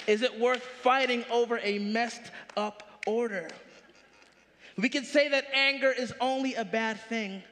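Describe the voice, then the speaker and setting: Pastor, 30s, high-pitched male voice, animated sermon delivery, big reverberant hall. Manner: animated preaching, rising punchy questions. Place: large church stage.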